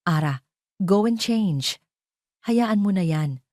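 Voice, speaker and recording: A woman's voice: mid-range voice, lively intonation, takes it slowly, a little mellow, no room sound, clean studio sound, pitched above the performer's own voice. A woman voicing a cold, commanding billionaire man. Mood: firm, commanding. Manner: cold and authoritative. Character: cold, guarded, commanding male billionaire father.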